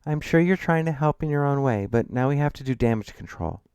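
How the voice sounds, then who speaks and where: A man's voice: mid-range voice, animated, fairly quick, darkish tone, smooth, no echo, voice pushed up, close to an everyday voice. A man voicing a concerned, conciliatory middle-aged mother in an audiobook, with a placating manner. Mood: gently reproachful.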